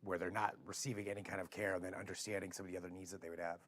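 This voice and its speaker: An American man with a rough, hoarse voice hosting a casual live interview, talking in halting, rambling phrases. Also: low voice, flat delivery, fairly bright tone. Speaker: TV show host.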